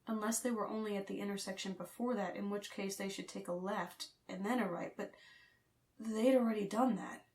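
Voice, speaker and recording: A woman's voice: medium pitch, steady tone, brisk, darkish tone. A woman reading fiction as an audiobook narrator, in a scripted, dramatic storytelling style.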